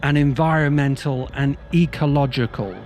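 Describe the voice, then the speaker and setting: A man hosting a podcast as a storytelling monologue, speaking deliberately with dramatic pauses and emphatic repetition. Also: a little high, steady tone, darkish tone, faint music behind. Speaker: podcast host.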